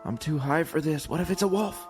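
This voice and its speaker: A man's voice: fairly high pitch, fairly quick, music in the background, voice pushed up, natural voice. A man acting a panicky, stoned young camper who swears at himself in an audio drama. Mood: nervous, self-reproaching.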